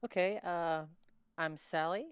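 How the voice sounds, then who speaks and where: A woman's voice: on the low side, steady tone, measured pace. A woman in her late twenties, a podcast guest, talking casually and hesitantly with fillers. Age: 20s. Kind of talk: casual chat.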